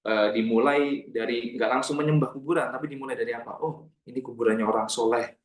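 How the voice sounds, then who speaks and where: A man teaching an online Islamic studies class. He explains slowly, repeats phrases for emphasis and recites Arabic passages. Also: mid-range voice, fairly even intonation, echoey room.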